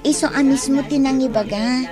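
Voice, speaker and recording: A woman's voice: high-pitched, steady tone, moderate pace, music in the background, close to an everyday voice. A woman playing a polite, earnest younger market helper in an Ilocano radio drama. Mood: aggrieved.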